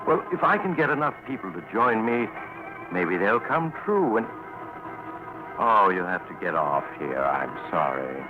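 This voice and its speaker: Casting: sly mysterious host, low voice, tongue-in-cheek, vintage radio narration over music. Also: male voice, very animated, unhurried, lo-fi sound. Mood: wry, genial. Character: wry, mysterious male storyteller on a journey. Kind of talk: 1940s radio anthology narration.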